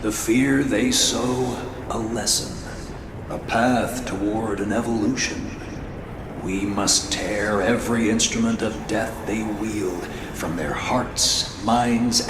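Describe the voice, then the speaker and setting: A man playing a cryptic, menacing old scholarly warrior spirit in a video game, speaking gravely and theatrically. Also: fairly low pitch, slow pace. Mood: fervent, philosophical. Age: older. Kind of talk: scripted dramatic game voice acting. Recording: roomy reverb, noisy game ambience.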